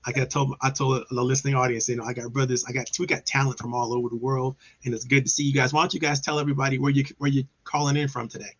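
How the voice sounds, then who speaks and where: A man's voice: mid-range voice, flat delivery, very fast, hardly any pauses, highs cut off. A man from Maryland hosting a casual online business show over a video call, talking in an upbeat, enthusiastic way with frequent restarts. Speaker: host.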